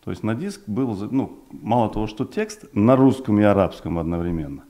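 A man in his forties, first language Uzbek, talks as a guest in a small television studio. His voice sits low and sounds dark and mellow. He speaks thoughtfully, pausing with fillers and restarting his phrases. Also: sing-song. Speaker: project developer guest. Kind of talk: studio interview. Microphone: desk mic on stand.

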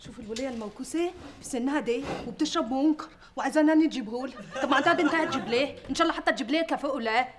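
A woman's voice: sits high, very fast, hardly any pauses, close to an everyday voice. A woman playing a blunt, earthy second wife with a rural Egyptian accent. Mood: scheming. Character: brash country second wife. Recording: noisy recording.

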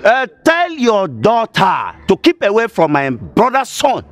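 Middle-aged Nigerian uncle, film drama, indignant, insulting, very animated, drawn-out pace. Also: male voice, on the high side, breathy edge, exaggerated voice. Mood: menacing. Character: proud, quarrelsome red-capped uncle, bitter and threatening.

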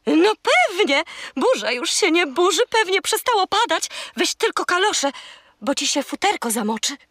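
A woman's voice: extremely high pitch, big pitch swings, normal speed, a bit bright, no room sound, clean studio sound, heavily acted. A woman voicing a chatty, scatterbrained, childlike female seagull in a children's audio drama.

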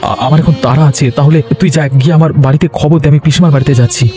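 A man voicing an excitable village husband in a cartoon, exclamatory and emphatic. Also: a little high, rapid-fire, music in the background, close to an everyday voice. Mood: alarmed, questioning. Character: village husband and nephew, excitable, easily alarmed. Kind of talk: Bengali animated ghost-story voice acting.

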